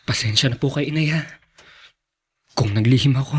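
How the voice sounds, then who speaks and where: A man's voice: mid-range voice, a little fast, husky, lightly acted. A man with a Filipino accent acting a devoted, earnest young student son in a radio drama, tender and respectful. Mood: pleading, reassuring. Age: young adult. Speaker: actor in a drama.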